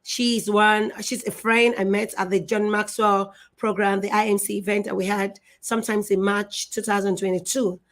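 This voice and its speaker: Middle-aged lady hosting a live web show, gushing and emotional, repeats stuff a lot, mid pitch. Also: flat delivery, moderate pace, few pauses. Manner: effusive and emotional, with repetition and restarts. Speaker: show host.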